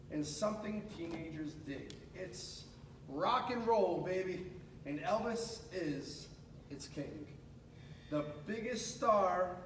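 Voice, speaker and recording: A man reading a children's picture book aloud in an animated, dramatic way, pausing and stretching words for emphasis. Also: a little high. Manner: dramatic, with pauses and drawn-out emphasis. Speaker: radio host reading a storybook aloud. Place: echoey room at a school. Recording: echoey room, distant mic, clear background noise.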